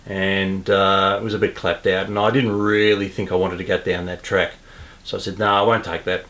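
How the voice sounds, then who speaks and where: A man casually explaining things as host of a hobby radio video, in an unhurried, understated, conversational way. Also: low-pitched, flat delivery, a bit bright.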